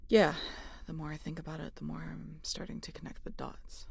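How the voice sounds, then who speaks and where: Female xenobiologist played by a woman: guarded, dry wit, lowish voice with heavy fry, sci-fi audio roleplay. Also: completely flat delivery, a little fast, darkish tone, heavily acted. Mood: weary, reflective. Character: guarded, sardonic female xenobiologist aboard a spaceship.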